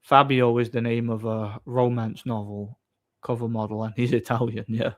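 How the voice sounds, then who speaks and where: A man's voice: medium pitch, flat delivery, moderate pace. Blunt, rambling male livestreamer. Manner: blunt, opinionated. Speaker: livestream host. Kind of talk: casual off-the-cuff chat, answering viewer comments.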